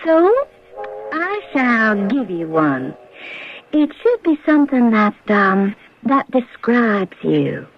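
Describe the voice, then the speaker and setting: A muffled old radio drama recording of a friendly, bookish American garage owner. Her highish voice swings expressively as she probes and teases. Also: sing-song, slow, a little hiss or hum, full character voice. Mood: warmly playful. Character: friendly, curious, bookish woman running a desert roadside garage.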